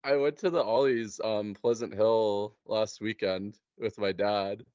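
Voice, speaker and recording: Slow, halting man chatting casually. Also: on the low side, steady tone, darkish tone, clean tone. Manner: hesitant, halting, repeats words. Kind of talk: casual chat. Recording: muffled, dull lo-fi sound.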